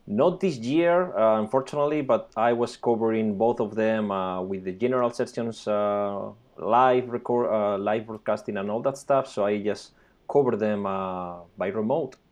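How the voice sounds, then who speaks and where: A man's voice: on the low side, normal speed, a little mellow. A man from Spain with a Spanish accent, a native Spanish speaker, answering as a podcast guest with frequent hesitant "uh"s. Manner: hesitant, many "uh"s. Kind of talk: casual interview answers.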